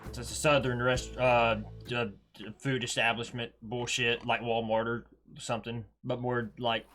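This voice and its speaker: A man's voice: mid-range voice, little pitch movement, unhurried, a bit bright, faint music behind. A man giving casual live reactions as a gaming streamer, blunt, offhand and slangy.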